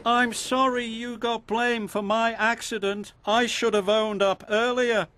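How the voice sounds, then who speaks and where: A man voicing an eager, cheeky young construction machine in a children's storybook, with an excitable, calling manner. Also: very high-pitched, measured pace, faint music behind, pitched above the performer's own voice, heavily acted. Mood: sorry.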